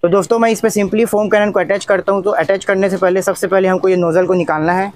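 A man's voice: high voice, steady tone, fast talker, no echo. A young man narrates a product demonstration vlog in a repetitive, instructional manner. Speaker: tech review channel host.

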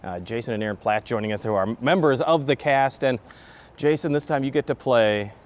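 A man's voice: medium pitch, fairly quick. A middle-aged man hosting and interviewing on local television, recorded on a lapel mic. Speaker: TV host. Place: large indoor hall decorated with Christmas trees.

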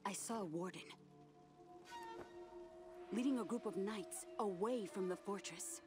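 A cautious, alert female scout, voiced by an actress in a video game drama. She sounds guarded and urgent, with a medium-pitched voice. Loud music runs underneath. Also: a little slow, lightly acted. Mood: wary. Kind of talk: video game cutscene acting.

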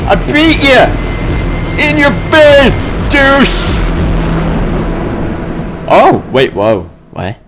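Excitable male gamer with very animated pitch. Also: extremely slow, long pauses. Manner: excitable, exclamatory, sweary, silly invented words. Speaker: gamer playing a first-person shooter. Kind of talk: casual let's play commentary. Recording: game gunfire and noise behind voice. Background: video game combat sounds.

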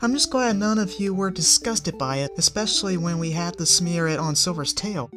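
Give me a timid, sweet young changeling girl who talks modestly in a raised, soft voice, as a reader puts her on. Faint music plays under her. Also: mid-range voice, moderate pace, voice pushed up, close to an everyday voice.

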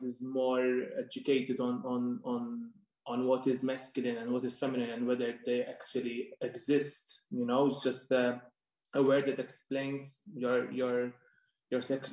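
Young adult panelist, even monotone, roomy call audio, repeats words. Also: male voice, mid-range voice. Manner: halting, repeats words while searching. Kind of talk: panel discussion on a video call. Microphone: webcam on a video call.

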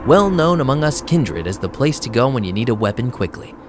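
A wisecracking vampire DJ acted by a man narrates an audio drama in a casual, streetwise way. His mid-pitched delivery is lively and sarcastic, with lots of swing in the pitch. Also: a little fast, noisy background, natural voice. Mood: wry, sardonic. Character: wisecracking young male vampire DJ, wry and streetwise. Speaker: narrator and lead character. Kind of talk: audio drama acting with wry first-person narration.

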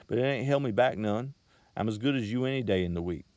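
A man's voice: mid-range voice, expressive, fast, darkish tone, no room sound, pitched above the performer's own voice, natural voice. A man reading aloud and voicing a crude, sly young Bible salesman with a coaxing country drawl.

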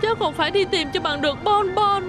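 Very high, anxious cartoon truck. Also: female voice, fast. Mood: worried. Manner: worried, earnest. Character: anxious, caring young female car-carrier truck. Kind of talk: children's cartoon dubbing. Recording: music behind.

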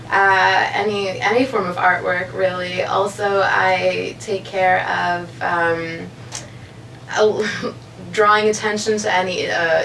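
A woman in her twenties giving casual, somewhat halting and self-effacing answers as an interview guest. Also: on the low side, gravelly, fairly bright tone. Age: 20s. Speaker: interview guest, radio station creative director. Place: room with couches, amps and instruments. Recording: echoey room, distant mic, faint noise.

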